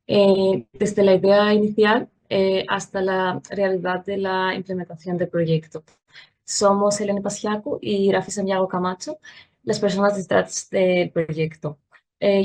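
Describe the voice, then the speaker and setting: A woman's voice: medium pitch, a little mellow. Woman presenting in careful Spanish. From Greece. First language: Greek. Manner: careful, apologetic about her Spanish. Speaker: international coordinator of a nonprofit. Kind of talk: online presentation while sharing a screen.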